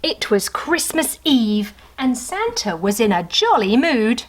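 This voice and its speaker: A woman narrating a children's storybook in an animated style, with chatty asides to young listeners. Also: on the high side, takes it slowly.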